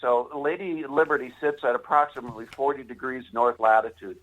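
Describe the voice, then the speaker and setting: A man with an American accent and a mid-pitched voice speaks as a guest in a live interview. His phrasing is formal and oratorical, delivered earnestly and with deliberation. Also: steady tone, a little fast, narrow, tinny audio. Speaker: author and interview guest promoting a monument project.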